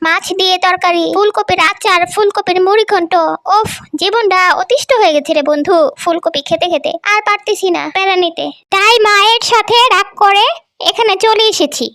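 Fed-up young cartoon boy played by a woman, extremely high, weary complaints, dry sound. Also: a bit bright, no echo. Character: exasperated young boy, friend sick of cauliflower. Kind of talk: cartoon voice acting.

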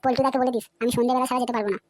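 A woman's voice: sits high, steady tone, very fast, dry recording. A woman voicing a cheeky, foul-mouthed young village man in a cartoon, brash and swearing often. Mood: irritated. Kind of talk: cartoon voice acting. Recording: loud background music.

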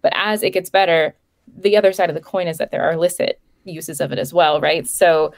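Woman guest analyst, low voice with gravelly fry, chatty and filler-heavy. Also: steady tone, fairly quick. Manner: fluent, many fillers like um and you know. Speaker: threat intelligence analyst, guest. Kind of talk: conversational podcast interview.

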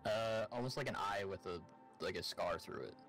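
Male gamer, lowish voice, casual and instructive, talking over faint background music. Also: lively intonation, normal speed, a bit bright. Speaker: gamer, co-op partner giving directions. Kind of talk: casual gameplay chat.

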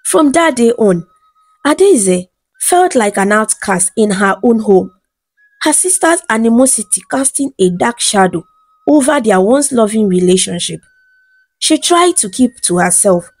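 A woman narrating a scripted folktale in a measured, dramatic storytelling manner. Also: mid-range voice, darkish tone, no room sound.

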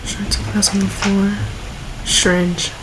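A woman's voice: medium pitch, little pitch movement, measured pace, noisy background. A woman giving casual, offhand reaction commentary and reading text aloud as a video host.

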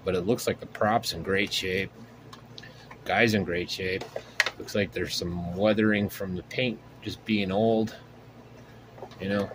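Low-voiced man rambling over his workbench. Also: steady tone, a little slow, pauses often, noisy background. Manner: rambling, thinking aloud with many fillers. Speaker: RC hobby channel host. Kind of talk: casual workbench show-and-tell. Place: indoor home workshop bench.

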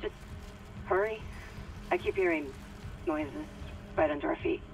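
An uneasy, hurried crewman on a game audio call. His voice is high-pitched, with very loud music playing behind it. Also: sing-song, vocal fry, full character voice, poor audio. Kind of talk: naturalistic video game acting.

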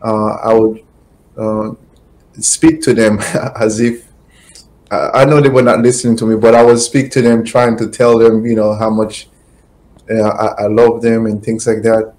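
A middle-aged man from Haiti answering interview questions slowly over a video call, pausing often with fillers. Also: fairly low pitch, level tone, a little mellow, poor audio. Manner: slow, halting, many fillers. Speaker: guest, attorney. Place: home office, indoors. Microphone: webcam video call.

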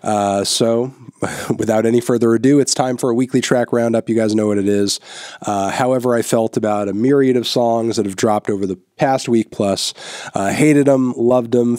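A man's voice: medium pitch, fairly quick, no room sound. A man in his thirties with a stuffy nose, hosting a casual music review with blunt opinions and lots of filler words, on a studio mic in a small room. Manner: relaxed, frequent filler words, blunt verdicts. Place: small indoor room, newly set up. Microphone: large studio microphone on boom in front of him.

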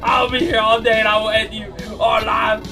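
A man voicing a hot-tempered, paranoid cartoon corn farmer who rants and yells accusingly. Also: high voice, little pitch movement, moderate pace, gravelly, over music. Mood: furious. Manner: ranting, yelling, accusatory. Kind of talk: fan-made game voice lines.

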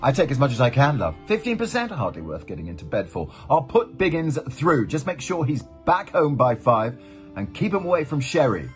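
A brisk, cheeky showbiz agent played by a middle-aged man in a comic pantomime. He is mock-formal and flippant with a very lively melody, over faint music. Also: mid-range voice, lightly acted.

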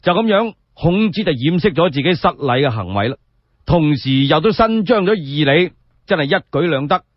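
A man's voice: a little high, lively intonation, moderate pace, no room sound, lo-fi sound. A man with a Cantonese accent telling historical tales as a solo radio storyteller, colloquial and dramatic.

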